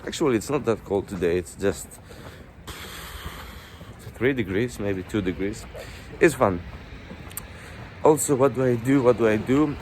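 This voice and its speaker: A man's voice: lowish voice, unhurried, pauses often, a little mellow, slight background noise. A Greek man in his twenties with a Greek accent, chatting casually in a vlog while walking along a snowy street. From Greece. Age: 20s. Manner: chatty, rambling with fillers. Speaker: vlogger.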